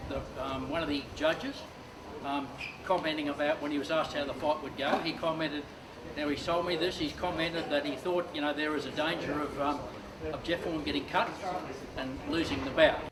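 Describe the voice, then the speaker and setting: A man's voice: fairly high pitch, steady tone, moderate pace. A man speaking at a press conference, choosing his words hesitantly and carefully. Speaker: boxing official at press conference. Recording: echoey room, distant mic, loud noise.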